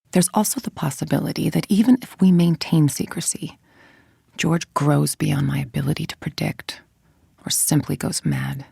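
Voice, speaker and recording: A woman playing a cold, ambitious scientist villain in a video game, speaking in a measured, precise, menacing way. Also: sits low, creaky, a little mellow, slightly rough, no room sound, clean studio sound, full character voice. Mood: guarded, scheming. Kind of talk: video game voice acting, monologue.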